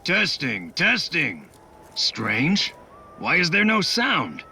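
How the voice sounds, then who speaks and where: Chatty game inventor on radio, gravelly, high, swooping delivery. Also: male voice, wildly swooping pitch, very slow, sharp tone, a little hiss or hum, exaggerated voice. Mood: upbeat, chatty. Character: chatty, eccentric workshop tinkerer on the radio. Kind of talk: video game cutscene acting.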